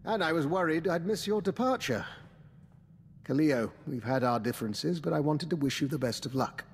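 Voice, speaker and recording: An actor playing a polite, inquisitive and faintly sly intelligence colleague in a video game, with formal, precise speech. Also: male voice, on the high side, expressive, normal speed, in a large hall, a little hiss or hum. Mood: smoothly needling.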